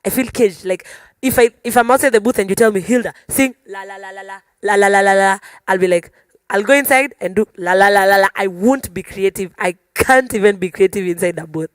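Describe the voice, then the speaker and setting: A woman with a Kenyan accent talking casually as a musician guest on a panel, telling stories in an animated way. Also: medium pitch, brisk, dry recording. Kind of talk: casual conversational panel talk.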